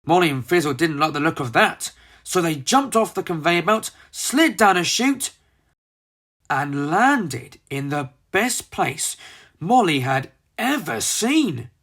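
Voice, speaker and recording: Brief: children's storybook narrator, medium pitch with wide swings, dramatic reading. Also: very animated, takes it slowly, fairly bright tone.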